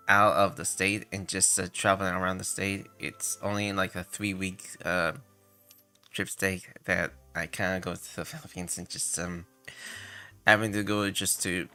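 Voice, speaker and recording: Low, creaky-voiced man, hesitant and halting. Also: sharp tone. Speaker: game livestreamer. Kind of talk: casual livestream commentary.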